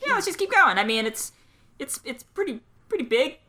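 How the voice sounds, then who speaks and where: A woman improvising wry, halting in-character comedy banter, with other voices behind her. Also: sits high, big pitch swings, pushed and bright. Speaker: player in improvised comedy musical story.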